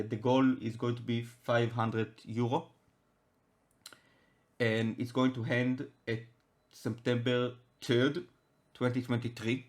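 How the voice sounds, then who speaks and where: Israeli man hosting a casual podcast. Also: mid-range voice. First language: Hebrew. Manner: halting, many restarts and fillers. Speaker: podcast host. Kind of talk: casual chat.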